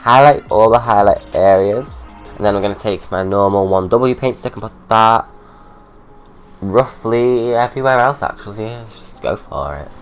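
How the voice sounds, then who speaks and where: Young man, low voice, blocked-up cold, sarcastic chatty vlogger; light music bed. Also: normal speed, smooth. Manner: chatty, sarcastic, ranting asides. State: stuffy head cold. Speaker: drag makeup vlogger. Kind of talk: casual chatty makeup tutorial.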